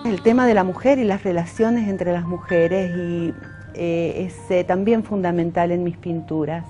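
Mellow Argentine woman, thoughtful pauses. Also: on the low side, steady tone, faint music behind. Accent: Argentine Spanish. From Mendoza, Argentina. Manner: thoughtful, pauses often with "eh" fillers. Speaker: painter. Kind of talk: reflective interview answers.

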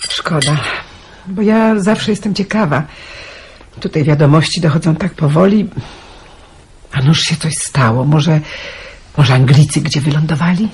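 A woman's voice: low-pitched, measured pace, long pauses, darkish tone, slight background noise. An actress playing a curious, lonely village woman in a radio drama, chatty and sympathetic.

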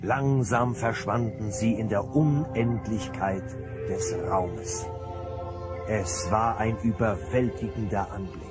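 A man narrating a radio play as a calm, solemn storyteller with a deliberate delivery. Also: lowish voice, loud music behind the voice. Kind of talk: scripted narration.

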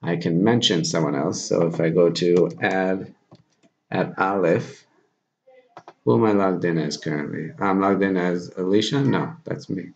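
A man in his thirties presenting a software tutorial informally, thinking aloud as he demonstrates at his computer. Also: deep voice, measured pace, gravelly. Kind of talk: casual software screencast tutorial. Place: small plain room indoors. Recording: dull lo-fi audio, background noise.

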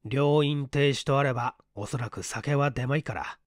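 A man reading a mystery story as scripted literary narration, in a measured storytelling manner. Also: medium pitch, dry recording, clean studio sound. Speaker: audiobook reader, stage actor.

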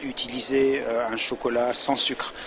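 A man's voice: medium pitch, flat delivery, normal speed. A man, a chocolatier, answering interview questions hesitantly with many fillers in an exhibition hall. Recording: noisy background.

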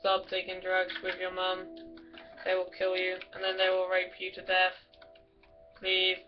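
Woman, silly crude gameplay rambler, slow flat delivery, lo-fi audio with loud music. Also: fairly low pitch. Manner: giggly, crude, silly and meandering. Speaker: gamer.

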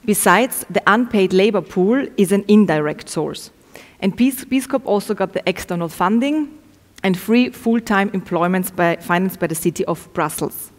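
A woman's voice: medium pitch, lively intonation, normal speed. Echoey young woman presenting research. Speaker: researcher presenting study findings. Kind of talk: prepared academic presentation. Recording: echoey, reverberant room sound.

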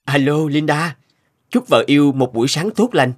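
A man's voice: fairly high pitch, expressive, moderate pace. A man voicing a suited husband and company boss in a cartoon, affectionate but prone to suspicion. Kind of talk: Vietnamese cartoon dubbing. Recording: music under the voice.

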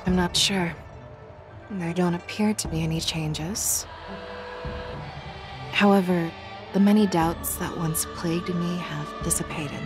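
A woman voicing a resolute young warrior general with fox ears in game dialogue, speaking in a firm, measured way. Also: fairly low pitch, steady tone, over music, lightly acted.

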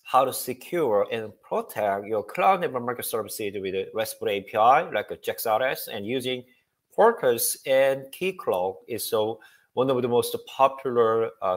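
Casting: upbeat presenter, man, forties, halting delivery full of fillers, headset mic on a video call. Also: medium pitch. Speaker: guest speaker. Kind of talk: technical presentation. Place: home room.

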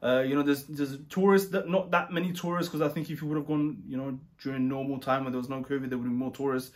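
A man chatting casually about a trip, rambling and relaxed with many fillers and restarts. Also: medium pitch, quick pace. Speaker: travel vlogger. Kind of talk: casual chat.

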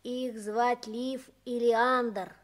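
A nine-year-old runaway boy in a 1960s radio play. The voice is high and boyish. He speaks slowly and carefully, earnest and a little halting. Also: flat delivery. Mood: reading aloud.

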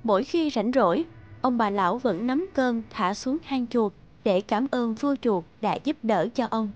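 A woman narrates a children's folktale in a high voice. The delivery is scripted storytelling, and music plays clearly underneath. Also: expressive, a little slow, muffled recording. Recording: music behind the voice.

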